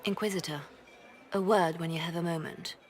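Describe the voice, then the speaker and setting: An actress playing a shrewd female spymaster in a fantasy game, speaking in a measured, confidential, businesslike way. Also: lowish voice, steady tone, lightly acted. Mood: guarded, serious. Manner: measured, confidential, matter-of-fact. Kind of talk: video game dramatic acting.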